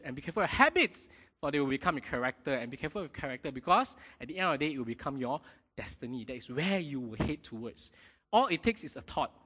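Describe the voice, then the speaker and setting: A man preaching a sermon in a lively way, often checking in with his congregation. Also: a little high. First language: not Mandarin. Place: church hall. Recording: long hall reverb.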